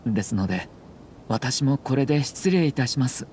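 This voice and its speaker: A man voicing a refined, courteous young official in an anime game, speaking formally and in a measured way. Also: mid-range voice, lively intonation, a little mellow, a little hiss or hum, lightly acted. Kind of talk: Japanese anime game voice acting.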